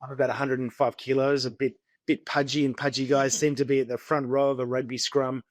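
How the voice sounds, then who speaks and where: A man's voice: medium pitch, little pitch movement, fairly quick, no echo. A man from Sydney with an Australian accent, talking informally and anecdotally as an interview guest. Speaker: interview guest, investment executive. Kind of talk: conversational storytelling.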